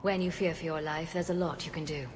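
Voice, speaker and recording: A woman's voice: sits low, steady tone, fast, close to an everyday voice. An anxious, caring leader of refugees played by a woman speaks with earnest regret. It is dramatic game acting, set in long reverb with faint noise around her.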